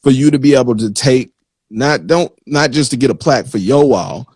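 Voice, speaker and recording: A man with a medium-pitched voice hosts a casual interview in a dry, echo-free sound. He talks informally, in slangy, repeated short phrases as he thinks aloud. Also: moderate pace, a little mellow, husky. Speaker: interviewer, show host. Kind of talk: casual live-stream interview chat.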